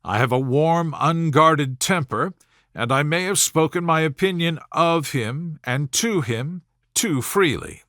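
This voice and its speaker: A man voicing an aggrieved young Regency gentleman in a storybook, smooth-talking and formal, with measured, wounded dignity. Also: a little high, expressive, no room sound, studio quality. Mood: wounded, dignified. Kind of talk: storybook character voice in audiobook reading.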